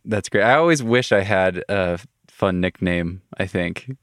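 A man's voice: deep voice, measured pace, no echo. Guy hosting a podcast, laughs a lot, dry close sound. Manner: friendly, laughs often, asks questions with repeated restarts. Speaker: podcast host. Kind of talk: casual interview.

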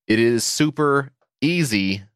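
Lively joking American podcast host. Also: male voice, takes it slowly, clean tone, no echo, studio quality. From United States. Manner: sarcastic, jokey, swings into mock-excited pitches. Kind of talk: comedic podcast banter and read-out ad.

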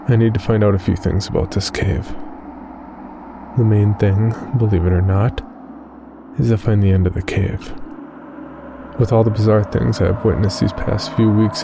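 A man playing a haunted, driven amateur caver, reading his journal in a solemn, deliberate horror narration. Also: lowish voice, vocal fry, background noise. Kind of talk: dramatic first-person horror narration. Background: music under the voice.

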